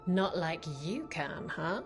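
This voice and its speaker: A woman's voice: fairly low pitch, animated, takes it slowly, soft background music. A woman playing a teasing, adventurous leader of treasure hunters in a fantasy video game, savoring her words. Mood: playful, tempting. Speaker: voice actor. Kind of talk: video game cutscene acting.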